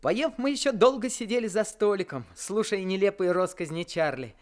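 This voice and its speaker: A man's voice: sits high, normal speed, lightly acted. A young man narrating a radio play as the older brother, earnest and reflective.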